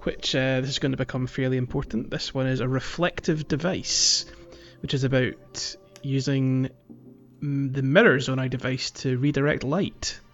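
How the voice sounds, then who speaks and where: A man's voice: mid-range voice, steady tone, moderate pace. A man co-hosting a podcast, casually explaining game details in an informal way, on a slightly lo-fi recording. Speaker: podcast host. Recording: slightly dull, lo-fi microphone sound. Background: faint music.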